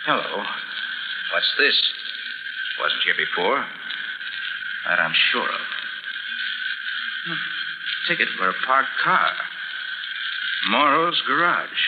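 An actor playing a commanding private detective, brisk and authoritative, in the style of 1940s radio drama. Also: male voice, medium pitch, very animated, narrow, tinny audio. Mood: musing. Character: hard-nosed, commanding male private detective. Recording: noisy, band-limited 1940s radio recording.

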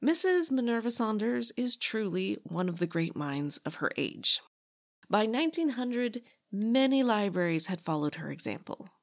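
A woman's voice: medium pitch, sing-song, normal speed. A woman hosting a podcast, reading scripted history narration in a wry, emphatic way. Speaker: podcast host.

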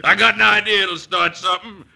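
An actor playing a bullying, gloating outlaw gang boss with folksy Western speech in an old-time radio western. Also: male voice, high-pitched, steady tone, a little fast, gravelly, sharp tone, heavily acted. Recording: noisy old radio broadcast recording.

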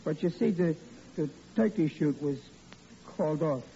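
A stammering, blustering dad. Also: male voice, fairly high pitch, expressive, normal speed, creaky, dark tone, a little hiss or hum. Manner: stammering, bluffing comic bluster. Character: blustering bumbling father Riley. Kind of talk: 1940s radio acting. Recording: dull lo-fi old radio recording.

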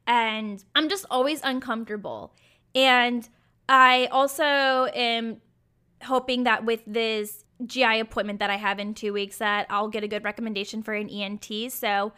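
A young woman hosting a solo podcast, talking candidly and chattily with a raspy, sometimes croaky, hoarse voice. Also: a little high, pushed and bright. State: hoarse from acid reflux. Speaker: podcast host.

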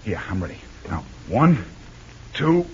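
An actor playing a brave, decisive leader of a wartime sabotage mission, terse and commanding, in an old-time radio adventure. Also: male voice, lowish voice, big pitch swings, a little slow. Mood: straining, commanding. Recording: dull, slightly noisy old radio recording.

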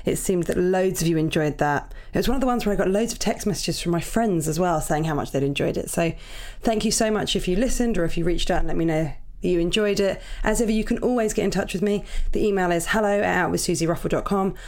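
An Irish woman hosting her podcast in a casual, chatty way, her voice croaky from early morning. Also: fairly low pitch, quick pace, a little mellow. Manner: chatty, apologetic, upbeat. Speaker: podcast host. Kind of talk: casual chat.

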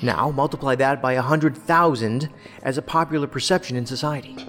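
A man's voice: mid-range voice, brisk, fairly bright tone, close to an everyday voice. A man playing a sardonic, wordy, philosophical federal investigator in a science fiction audio drama. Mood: argumentative, intense. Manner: wry, wordy, lecturing. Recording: long hall reverb, music underneath.

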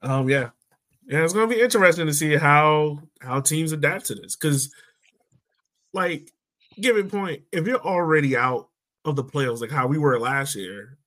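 A man's voice: a little high, lively intonation, normal speed, a bit bright. A man hosting a sports podcast in a casual, conversational way. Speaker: podcast host.

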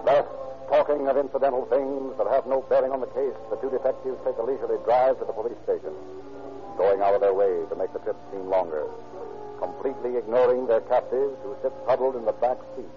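A man reads formal, measured narration for an old radio crime drama. His voice is of middling pitch, muffled like an aged broadcast, with faint music underneath. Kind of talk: scripted narration, 1940s radio.

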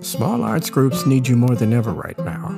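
A man's voice: medium pitch, very animated, normal speed, creaky, loud music behind the voice. A man reading a scripted announcement as the presenter of a radio theater programme.

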